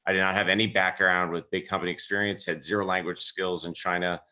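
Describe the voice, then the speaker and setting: Bright, low-voiced male entrepreneur. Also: level tone, fast. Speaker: entrepreneur, webinar panelist. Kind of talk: casual storytelling on a webinar.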